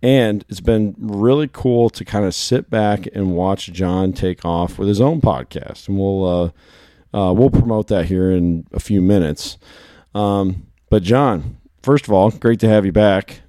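A man hosting a casual podcast, his voice hoarse and worn, chatting and telling stories in quick bursts. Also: on the low side, warm tone, dry recording, studio quality. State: hoarse from shouting. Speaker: podcast host.

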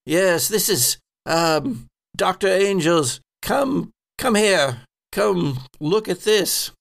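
An excitable, urgent scientist played by a man in a tabletop horror game. The voice sits higher, with a put-on accent and a beckoning, eager manner. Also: slow pace, gravelly, full character voice. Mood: urgent, excited.

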